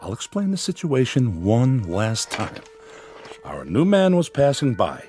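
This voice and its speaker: Lively, defiant newspaper editor. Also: male voice, medium pitch, unhurried, soft background music. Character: confident, wry, defiant small-town newspaper editor. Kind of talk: radio drama acting.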